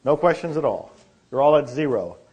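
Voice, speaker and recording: A man's voice: fairly high pitch, expressive, fairly quick, darkish tone, breathy edge, dull audio. A man co-presenting a seminar, taking questions informally in a courteous, anecdotal manner. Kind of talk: informal question-and-answer talk. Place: seminar room.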